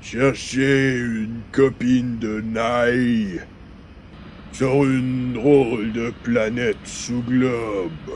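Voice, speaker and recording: A man playing a drugged, dim-witted henchman in a science-fiction audio drama, groggy and halting as he answers. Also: mid-range voice, a little hiss or hum. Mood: dazed, compliant. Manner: dazed, flat, halting answers. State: groggy from a drug, acted. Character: drugged, dim-witted male henchman under truth serum.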